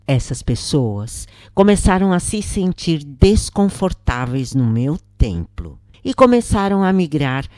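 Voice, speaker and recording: A Brazilian woman reading a scripted first-person spiritual account as a narrator, in a measured, carefully phrased way. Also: sits low, sing-song, a little mellow, no echo, studio quality. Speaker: narrator and channel host.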